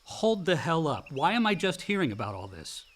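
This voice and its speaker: An actor playing a sardonic, easily exasperated young adult son in a naturalistic radio play, speaking in casual, slangy, profane phrases. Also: male voice, fairly high pitch, sing-song, fairly quick, fairly bright tone.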